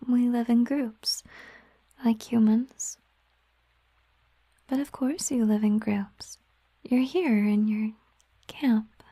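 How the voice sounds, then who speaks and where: A woman voicing a gentle, affectionate siren in a whispered ASMR roleplay, speaking softly and intimately in an unhurried, musing way, close to the microphone. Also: a little high, no room sound. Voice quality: soft, breathy whisper. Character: gentle, affectionate female siren, a mermaid girlfriend. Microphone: close microphone, dry sound.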